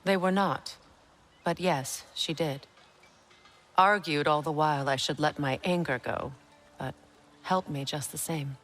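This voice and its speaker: A woman playing a proud, steely warrior goddess in a video game, measured and pointed. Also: low voice, a bit bright, soft background music. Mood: bitter, pointed. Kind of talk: video game cutscene acting.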